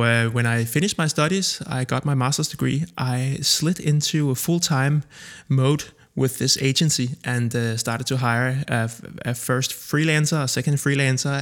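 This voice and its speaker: A Danish man in his thirties, a native Danish speaker, hosting his own podcast and telling his background in a relaxed way with many pauses and fillers. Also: medium pitch. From Denmark. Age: 30s. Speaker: podcast host. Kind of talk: casual solo podcast monologue.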